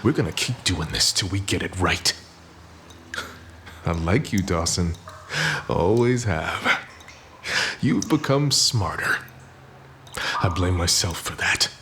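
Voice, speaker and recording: An actor playing a commanding, condescending boss in a radio play. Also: male voice, low voice, lively intonation, takes it slowly, pauses often, pushed and bright, a little hiss or hum, exaggerated voice. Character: domineering male boss.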